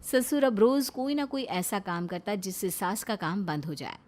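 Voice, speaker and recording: A woman's voice: mid-range voice, animated, moderate pace, a little mellow. A woman reading a scripted narration of a family moral story. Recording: faint background music.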